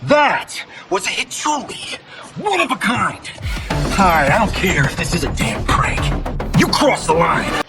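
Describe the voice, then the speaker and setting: An actor playing a cocky, flippant Hollywood action star in a video game, with a sarcastic, theatrical delivery. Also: male voice, a little high, expressive, normal speed, creaky, music in the background. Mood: indignant. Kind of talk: cinematic video game acting.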